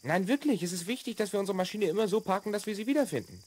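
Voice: mid-range voice, lively intonation, racing, a little mellow, close to an everyday voice. A performer playing an assured, explanatory twelve-year-old boy from the future in a children's radio play. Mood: instructive.

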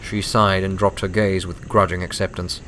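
A man's voice: low voice, level tone, normal speed, fairly bright tone, a little hiss or hum. A man reading scripted story narration as an audiobook narrator.

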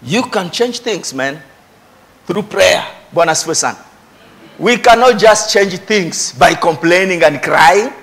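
A man's voice: high-pitched, expressive, measured pace, a bit bright. A middle-aged man with a Kenyan accent preaching a church sermon, emphatically repeating key phrases, on a handheld microphone. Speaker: pastor. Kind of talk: animated church sermon with Swahili asides. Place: church stage before a congregation.

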